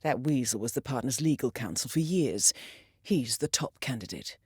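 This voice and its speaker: An actress playing a composed, articulate intelligence handler in a video game, briefing an assassin in a measured, precise, formal way. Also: female voice, extremely low pitch, animated, a little mellow, breathy edge, dry recording, studio quality, exaggerated voice. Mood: quiet, intimate. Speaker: mission handler.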